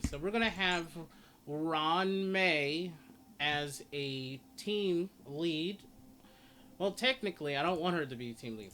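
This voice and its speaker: A man's voice: a little high, a bit bright, slight background noise. A man giving casual game guide commentary, rambling as he repeats and corrects himself. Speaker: gaming guide host.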